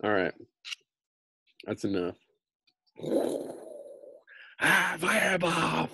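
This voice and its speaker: A man's voice: fairly high pitch, very animated, extremely slow, gravelly, pushed and bright, breathy edge, no echo. An American man in his thirties reading a book aloud in an informal, slangy way with casual asides. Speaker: read-aloud storyteller.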